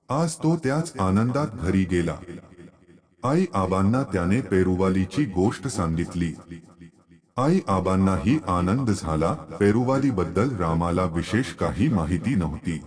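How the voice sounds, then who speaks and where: A man's voice: deep voice, a little mellow. A man reads a sentimental moral story as scripted narration.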